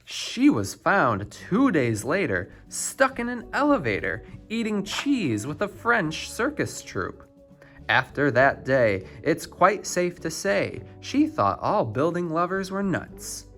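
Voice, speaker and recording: A man narrates a rhyming picture book for children, expressive and theatrical. His pitch swings widely and he takes his time, with faint music underneath. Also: slow pace. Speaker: children's storybook reader.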